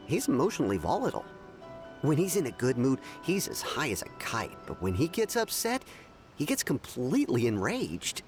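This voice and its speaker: A man's voice: mid-range voice, natural voice. A man voicing a reserved, observant man in a video game who speaks in a thoughtful, polite way. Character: measured, observant man keeping an eye on the city. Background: game music.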